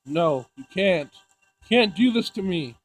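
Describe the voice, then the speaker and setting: A man's voice: high voice, expressive, a little slow, faint music behind, pitched above the performer's own voice. Man acting out a desperate, distraught man, urgent. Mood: pleading, desperate. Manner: urgent, pleading, terse. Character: distraught, desperate man trying to revive a friend.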